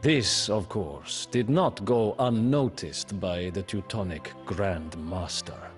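A man giving scripted storybook narration for a game campaign, grave and measured with dramatic emphasis. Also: low-pitched. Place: studio voice-over. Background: faint music score.

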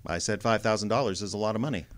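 Man acting a wry noir private eye, American, fairly low voice, blunt, slangy, radio drama. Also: very fast, lightly acted. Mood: wry, challenging. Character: wry, hard-boiled male private detective.

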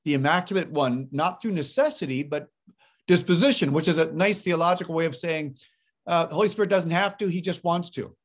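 A man's voice: a little high, brisk. Enthusiastic older male host on a video call. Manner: fluent, enthusiastic theological commentary. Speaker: interview host. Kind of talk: interview over video call. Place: home study with bookshelves. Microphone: webcam on video call.

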